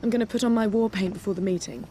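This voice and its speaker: A woman's voice: mid-range voice, rapid-fire, dark tone, a little hiss or hum, lightly acted. A woman acting a flirtatious socialite secret agent in a video game, playful, arch and self-assured. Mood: coy, playful. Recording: music behind the dialogue.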